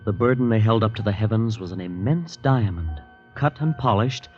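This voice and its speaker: A man's voice: fairly low pitch, animated, moderate pace, darkish tone, close to an everyday voice. An American actor playing a skeptical, urgent young man in an old radio adventure drama, narrating and speaking in scenes. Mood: tense narration. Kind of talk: 1940s-50s radio drama acting. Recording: dull vintage radio recording with music.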